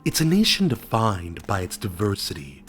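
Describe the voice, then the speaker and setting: A man hosting a lore video, narrating from a script with a stuffy voice from a cold and dramatic pauses. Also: lowish voice, huge pitch swings, a bit bright, faint music behind. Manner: enthusiastic storytelling with dramatic pauses. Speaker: video essay host.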